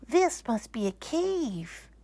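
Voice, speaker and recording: A woman voicing a gentle, wondering, childlike male toy bear from a storybook, with wide-eyed, dreamy delivery. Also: on the high side, very animated, slow, pitched above the performer's own voice, heavily acted.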